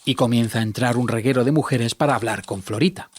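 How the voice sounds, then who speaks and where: A man's voice: mid-range voice, quick pace, breathy edge, no room sound. A man reading scripted narration of stage directions as the narrator of a radio drama.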